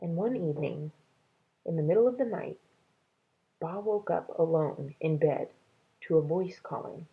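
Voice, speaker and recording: A woman storyteller reads a children's novel in a low voice with a gravelly creak. Her delivery is slow and measured, and the recording sounds thin and tinny, like a narrow band. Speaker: read-aloud storyteller. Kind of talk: reading a children's novel aloud.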